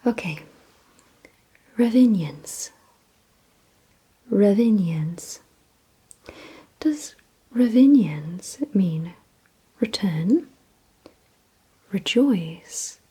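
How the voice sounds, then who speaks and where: A woman leads an ASMR roleplay in an extremely breathy whisper, right up at the microphone. Her tone is dark and mellow. She gives instructions very slowly and deliberately, repeating words and leaving pauses between them. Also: mid-range voice, animated, poor audio. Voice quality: breathy whisper, mostly unvoiced. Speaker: ASMR creator leading a word-guessing game. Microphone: close ASMR microphone.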